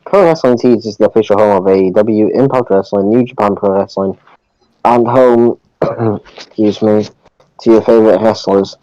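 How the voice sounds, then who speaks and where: A man with a mellow, resonant, lowish voice reads a scripted sponsor message. The sound is dry and a little dull, like a modest microphone. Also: steady tone, moderate pace, no echo. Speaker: podcast co-host.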